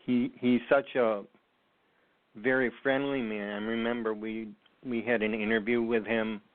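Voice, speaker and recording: A man hosting a call-in radio talk show, speaking slowly with frequent ums and pauses. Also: mid-range voice, little pitch movement. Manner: slow, halting, many ums. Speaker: host. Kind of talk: casual radio chat. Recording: tinny phone line, dry.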